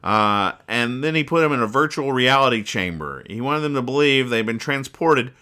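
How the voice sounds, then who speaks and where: A man's voice: medium pitch, lively intonation, normal speed, sharp tone. A man hosting a podcast, bantering casually about comic strips in a teasing, mock-exasperated way. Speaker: podcast host.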